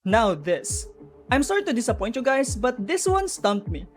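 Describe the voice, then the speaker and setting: A woman's voice: a little high, very animated, moderate pace. A woman giving an informal explainer commentary, chatty and speculative, talking straight to viewers. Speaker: video narrator explaining game lore. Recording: music under the voice. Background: background music.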